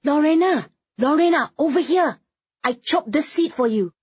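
A woman voicing a gossipy, excitable society woman in an audiobook. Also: low-pitched, normal speed, slightly rough, dry recording, dull audio. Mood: excited, calling. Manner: chatty, gossiping.

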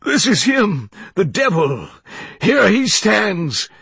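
A man performs a frantic frontier preacher for an audiobook, wailing and crying out in desperation. The pitch leaps far above ordinary talk and the words are loud and anguished, in a dry recording. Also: big pitch swings, slow, creaky, breathy edge, no echo, exaggerated voice. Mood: sobbing, desperate.

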